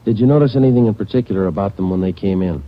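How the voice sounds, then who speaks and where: An actor playing a terse, methodical police detective who also narrates, in a flat, clipped 1940s radio drama delivery. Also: male voice, on the low side, rapid-fire, natural voice. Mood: businesslike. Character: terse male police sergeant, calm and methodical. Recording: dull 1940s radio broadcast with music.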